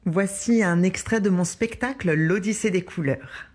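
A woman storyteller performing a children's tale in a hushed, intimate way, speaking straight to the young listener. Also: medium pitch, normal speed, soft background music.

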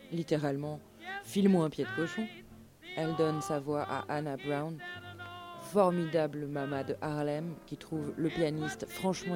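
A woman narrating a scripted documentary story as part of a live radio show. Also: low voice. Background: music.

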